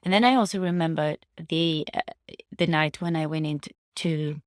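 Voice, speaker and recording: A woman giving a casual, reflective personal monologue to viewers, halting as she searches for words and restates her phrases. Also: low voice, dry recording, clean studio sound. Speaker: vlogger sharing personal reflections.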